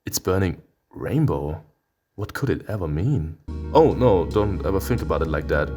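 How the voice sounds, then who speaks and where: Deep-voiced man with theatrical reactions. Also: lively intonation, normal speed, warm tone, husky, soft background music. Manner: theatrical, exclamatory, swearing reactions. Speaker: streamer playing a visual novel. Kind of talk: let's play commentary and read-aloud.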